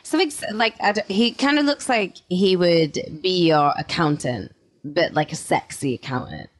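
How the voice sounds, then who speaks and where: A woman with a low voice co-hosts a true-crime podcast. She tells the case in a relaxed way and keeps breaking in with playful asides. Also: moderate pace. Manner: laughs often, playful asides. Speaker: podcast co-host.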